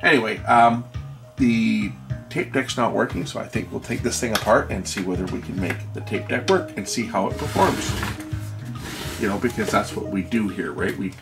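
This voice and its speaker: Low, creaky-voiced man thinking aloud. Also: moderate pace, over music. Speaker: host of an electronics repair video channel. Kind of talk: unscripted hands-on product walkthrough.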